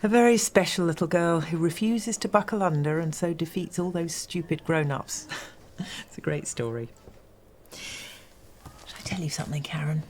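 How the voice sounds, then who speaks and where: An actress playing a condescending school headmistress who speaks in a dry, patronising, precise way. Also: female voice, sits low, normal speed, darkish tone, slight background noise, lightly acted. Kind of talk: sitcom acting.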